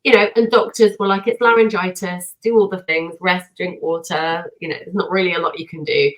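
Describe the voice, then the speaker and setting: A woman singer and vocal coach, a guest on a podcast, telling her story in an energetic, self-deprecating way. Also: on the low side, a little fast, low-quality recording. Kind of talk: casual podcast conversation.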